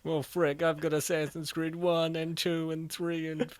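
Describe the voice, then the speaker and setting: A man's voice: a little high, monotone, measured pace. A man co-hosting, reacting in casual, informal chat. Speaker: co-host.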